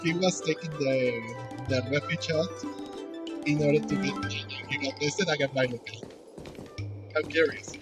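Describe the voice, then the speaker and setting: Male let's play host: unhurried, hesitant, casual, with animated pitch swings over game music. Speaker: gaming video host.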